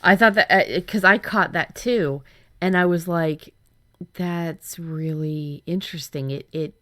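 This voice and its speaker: A woman's voice: lowish voice, unhurried, pushed and bright. A woman co-hosting a writing workshop, casually chatting as she shares her story ideas. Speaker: writer and workshop co-host.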